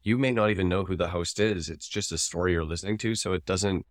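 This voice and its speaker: A man's voice: low voice, quick pace, no room sound, clean studio sound. A man co-hosting a podcast, explaining his opinions in casual discussion. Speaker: podcast co-host, advertising commentator.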